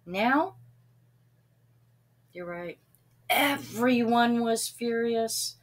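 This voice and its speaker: A woman reads a children's story aloud. Her voice is fairly high and very animated, moving at a slow pace. She addresses her young listeners directly between expressive passages. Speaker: storybook reader.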